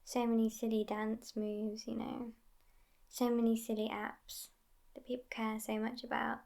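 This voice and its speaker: A woman playing a sassy, aloof, youthful but centuries-old vampire girlfriend in a soft, near-whispered ASMR roleplay. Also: a little high, completely flat delivery, measured pace, gravelly. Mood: languid, sassy. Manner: languid, aloof, hushed, often near whispering.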